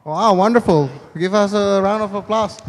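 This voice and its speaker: A man's voice: sits high, moderate pace, slight background noise. A man moderating a conference panel, chatty and joking as he mixes two languages. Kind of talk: live panel hosting. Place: conference hall. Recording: long reverb of a big hall.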